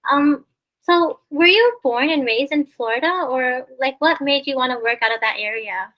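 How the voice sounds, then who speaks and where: A bright, high woman's voice leads the interview in a chatty, friendly way. She fills her questions with "you know" and "like." She is the Canadian host of the show. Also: normal speed, poor audio. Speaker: podcast host. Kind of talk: interview hosting.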